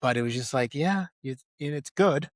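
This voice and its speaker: A man's voice: mid-range voice, lively intonation, moderate pace, no echo, studio quality. A man hosting a podcast in a casual, conversational film discussion. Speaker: podcast co-host.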